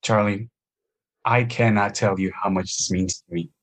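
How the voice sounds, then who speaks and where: A man's voice: fairly low pitch, steady tone, normal speed. A man playing an earnest young chorus dancer. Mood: grateful. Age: young adult. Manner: earnest, pleading. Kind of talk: audio play acting.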